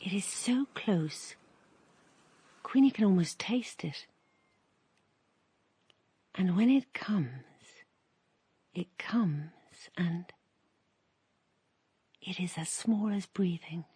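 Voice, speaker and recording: A woman narrates a radio drama in a quiet, lyrical, measured voice. She reads slowly with a breathy softness, and the sound is dry and close. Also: mid-range voice, no room sound.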